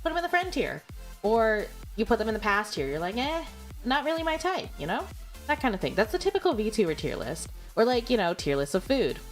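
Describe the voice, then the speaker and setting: A woman's voice: a little high, big pitch swings, a little fast, faint music behind. A young adult woman streaming, chatting casually and rambling with lots of fillers and giggles. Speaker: VTuber streamer. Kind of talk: casual livestream chat.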